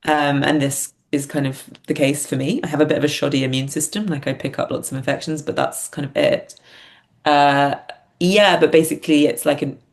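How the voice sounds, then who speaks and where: A woman talking candidly and reflectively in a casual interview, thinking aloud as she goes. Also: rumbling low. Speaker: podcast guest, playwright and performer.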